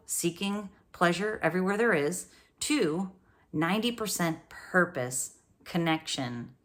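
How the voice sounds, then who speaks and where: A woman with a notably low voice talks directly to her listener as a coach and host. She is upbeat and encouraging, and she keeps asking rhetorical questions to push them forward. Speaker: brain coach and podcast host. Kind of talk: motivational solo talk to camera.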